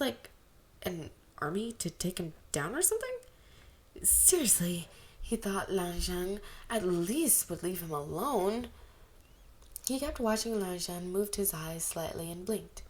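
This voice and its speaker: A woman reads fiction aloud in a mid-pitched voice. She narrates as an amateur audiobook reader, with an expressive way of acting out the characters. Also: a little hiss or hum.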